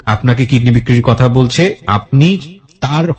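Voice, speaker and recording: A man's voice: medium pitch, animated, unhurried. A man with a Bangladeshi accent hosting a radio interview, probing by putting the guest's account back as questions. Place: radio studio.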